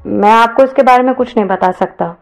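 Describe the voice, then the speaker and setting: A woman's voice: a little high, vocal fry. A woman voicing a polite male security guard in an audio story, hesitant, deferential and evasive. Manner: halting, deferential.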